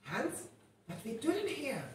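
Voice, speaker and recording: A woman's voice: high-pitched, sing-song, measured pace, slight background noise. A woman playing an uneasy young village sweetheart in a live North Frisian stage radio play. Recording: very echoey hall, far from mic.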